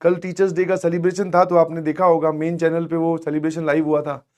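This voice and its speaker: A male coaching teacher with a hoarse, strained throat, giving motivational advice to students in an online class, coaxing them along, saying please and checking that they follow. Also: fairly high pitch, monotone, brisk, darkish tone.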